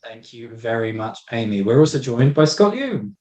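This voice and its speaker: A man's voice: mid-range voice, big pitch swings, moderate pace, a little mellow, reverberant. A man moderating an online panel, politely introducing each guest over a video call.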